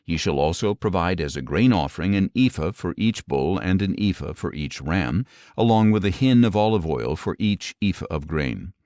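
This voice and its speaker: A man narrating an audio Bible, reading scripture in a measured, solemn, clearly phrased way. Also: low voice, expressive, darkish tone, no echo, clean studio sound.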